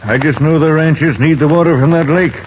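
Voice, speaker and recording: A man's voice: on the high side, a little fast, close to an everyday voice. An American man playing a plain-spoken, worried sheriff in a 1950s Western radio drama. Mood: concerned. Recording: dull 1950s radio recording, faint noise.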